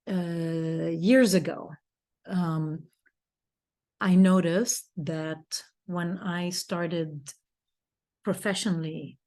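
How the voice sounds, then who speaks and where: A woman co-hosting a talk show, telling a personal story in a rambling, easygoing way with small laughs. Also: fairly low pitch. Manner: rambling, restarts, soft laughs. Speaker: co-host and speaker. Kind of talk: storytelling in casual chat.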